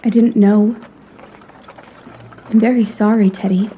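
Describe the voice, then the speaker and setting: A woman's voice: medium pitch, fairly even intonation, unhurried, warm tone, a little hiss or hum, lightly acted. A woman playing a proud, frank young lady in a period audio drama, speaking in a refined, articulate and earnestly reproachful way. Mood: gentle, earnest.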